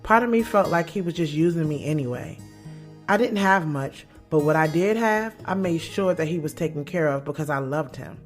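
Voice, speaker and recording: A woman's voice: low voice, lively intonation, normal speed. A woman playing a guarded, blunt young woman author in an audio drama, speaking frankly and with slangy sass about her love life. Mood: reflective, frank. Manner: candid, slangy, sassy. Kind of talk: first-person audio drama narration and dialogue. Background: music bed.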